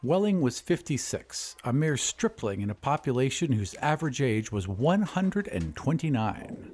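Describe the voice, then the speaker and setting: A man's voice: mid-range voice, sing-song, moderate pace, darkish tone. A man hosting a storytelling podcast, reading scripted narration. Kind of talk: scripted audiobook-style narration.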